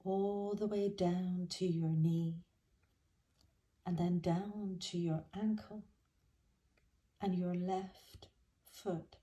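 Female meditation guide: dark, soft-toned and soothing, very slow delivery, gentle phrasing. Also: on the low side, fairly even intonation. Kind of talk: guided body scan meditation.

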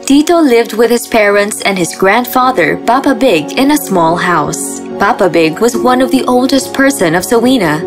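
A woman's voice: a little high, animated, moderate pace, few pauses. A woman giving scripted narration of a fairy tale as the storyteller. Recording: music behind the voice.

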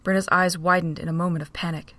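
A woman narrating a fan-made audio drama in a steady, even reading voice. Her voice sits a little low and is recorded dry and close. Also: quick pace, no echo. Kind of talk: storybook narration.

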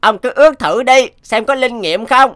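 A woman voicing a small, polite young mouse messenger in an animated folktale, eager and deferential. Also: a little high, normal speed, lightly acted. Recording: faint music behind.

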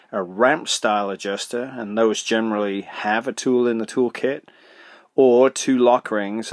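A middle-aged podcast host giving practical, direct advice, often asking himself questions and then answering them. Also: male voice, on the low side, no echo, studio quality. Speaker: podcast host, motorcycle suspension tuner. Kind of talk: solo podcast advice talk.

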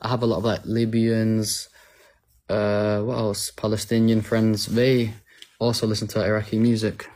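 A man's voice: fairly low pitch, completely flat delivery, moderate pace, a little mellow. A young man from England chatting casually on a live stream, recorded on a phone indoors. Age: young adult. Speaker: footballer. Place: small room indoors. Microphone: phone at arm's length. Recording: music playing in the room. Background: music.

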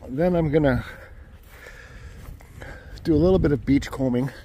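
Mellow-voiced man vlogging from his car. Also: a little high, measured pace. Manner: rambling, self-correcting, swears casually. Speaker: road trip vlogger. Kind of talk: casual chat while driving. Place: inside a car on the road. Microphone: phone camera mounted on the dash. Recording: faint car noise behind.

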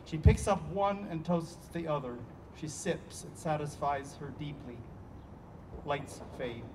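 A man's voice: a little high, expressive, unhurried. A man giving scripted narration, reading out stage directions at a play reading. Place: outdoor stage. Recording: distant outdoor pickup, faint noise.

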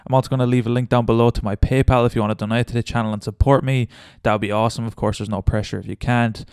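A man hosting a casual step-by-step software tutorial in an informal, instructional way, as a close voice-over. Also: mid-range voice, steady tone, rapid-fire, clear, resonant tone, no echo. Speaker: tutorial host.

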